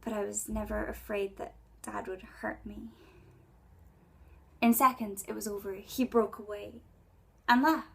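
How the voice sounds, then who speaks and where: Young woman poet, slow n deliberate, breathy w a creaky edge, reading her poem. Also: medium pitch, flat delivery. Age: 20s. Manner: measured, intimate, deliberate dramatic phrasing. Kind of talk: spoken word poetry recital.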